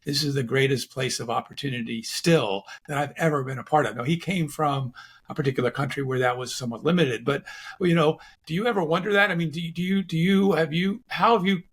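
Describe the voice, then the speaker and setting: A man hosting an interview with a gravelly, husky voice that sits a little high for a man. He asks curious, rambling questions and often starts over mid-sentence. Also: a little fast. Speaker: podcast host. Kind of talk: conversational interview.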